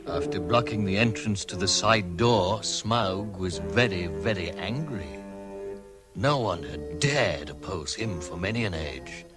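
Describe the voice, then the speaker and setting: A man's voice: deep voice, expressive, a little slow, loud music behind the voice. A man narrating a fantasy audio drama as a grave, dramatic storyteller.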